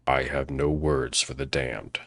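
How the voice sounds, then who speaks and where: A man's voice: extremely low pitch, flat delivery, a little slow, a bit bright, full character voice. A man playing a grim, defiant Norse warrior chief in an audio drama, terse and scornful in archaic speech. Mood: grim defiance.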